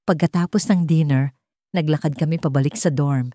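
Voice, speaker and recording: A woman's voice: deep voice, normal speed, dark tone, no room sound, studio quality. A woman narrating a scripted first-person story as a storyteller.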